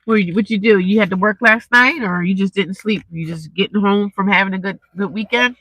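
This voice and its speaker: A woman's voice: medium pitch, brisk, a bit bright, poor audio. Congested, chatty woman on a live stream. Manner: rambling, chatty, talks straight to viewers. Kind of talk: casual live-stream chat with viewers. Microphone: cheap earphone mic.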